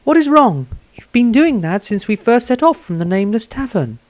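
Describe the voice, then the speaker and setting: An earnest woman from a fantasy order, acted by a male reader, speaks in a clearly raised pitch. Her phrasing is formal and measured, as in an audiobook tale of adventure. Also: very animated, dry recording. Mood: concerned. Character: earnest female member of an order.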